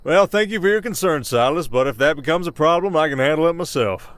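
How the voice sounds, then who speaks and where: A man's voice: on the high side, expressive, quick pace, fairly bright tone, dry recording. A man playing a weary, protective werewolf sheriff of the Old West in an ASMR roleplay, with a folksy American Southern accent and a hesitant storytelling manner. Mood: firm, guarded.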